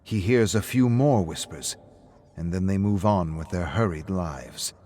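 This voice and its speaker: A man's voice: low voice, unhurried, warm tone. A man reading scripted story narration.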